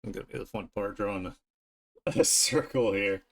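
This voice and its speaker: A man streaming his art, thinking aloud in an informal, unhurried way as he works. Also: fairly low pitch. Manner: informal, unhurried musing to self while drawing. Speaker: streamer and artist. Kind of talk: casual art livestream commentary, thinking aloud.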